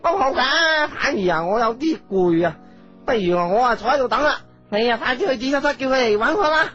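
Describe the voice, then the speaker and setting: A woman's voice: a little high, animated, fairly quick, a bit bright. A woman voicing a fussy, rambling old wizard king with a Hong Kong Cantonese accent in a radio comedy drama. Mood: insistent, weary. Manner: rambling, hesitant, exclamatory. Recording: slightly dull audio over music.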